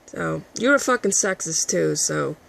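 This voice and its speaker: A woman with a raspy, husky voice, ranting combatively and with a lot of profanity at a critic in a vlog. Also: low voice, animated, measured pace, fairly bright tone. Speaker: vlogger. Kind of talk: addressing a critic to camera.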